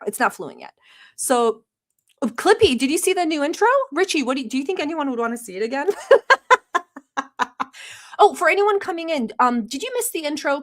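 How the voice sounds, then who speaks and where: A young woman born in Turkey, Turkish her first language, hosting a casual livestream, chatty and teasing, laughing often and swearing casually. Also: on the high side. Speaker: livestream host. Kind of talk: casual livestream chat reacting to a video.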